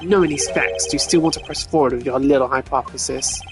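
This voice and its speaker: A man's voice: medium pitch, fast, natural voice. Man doing a taunting, proud investigator over music. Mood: challenging. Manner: challenging, sardonic, blunt. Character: proud, taunting male investigator, protective of his men. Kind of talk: dramatic video game character voicing.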